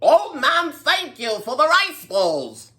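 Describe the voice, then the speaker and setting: A woman's voice: sits high, very animated, takes it slowly, sharp tone, voice pushed up, full character voice. A woman voicing happy, grateful mice in a children's folktale, chanting in a cheerful singsong. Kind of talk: singsong children's storybook acting.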